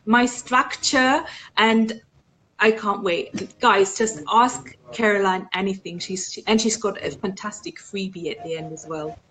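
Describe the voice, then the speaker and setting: A woman's voice: mid-range voice, a little slow, fairly bright tone, a little hiss or hum, poor audio. A woman with an Austrian accent hosting a live online show, chatting casually with many fillers.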